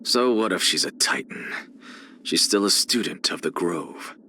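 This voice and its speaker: An arrogant male scholar from a video game, lecturing with cool contempt. His voice sits fairly low yet has a crisp, forceful edge, and it swings theatrically in pitch as he takes his time over each phrase. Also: lively intonation, a little hiss or hum, heavily acted. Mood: measured, reflective. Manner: haughty, deliberate, theatrical, lecturing.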